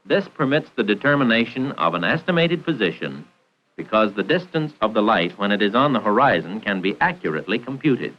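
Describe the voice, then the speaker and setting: Lively-pitched American man, vintage film narrator. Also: fairly quick, slight background noise. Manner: formal, precise, instructional. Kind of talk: scripted 1940s training film narration. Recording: dull vintage 1940s film soundtrack.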